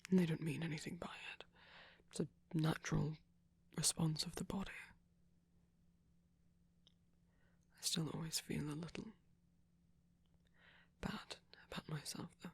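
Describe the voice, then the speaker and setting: A very breathy, whispering vampire boyfriend, played by a man, gentle and caring. The voice is husky with a creaky edge, soothing and a little self-conscious, in an intimate ASMR roleplay. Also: fairly high pitch, steady tone, moderate pace. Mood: shy, self-deprecating.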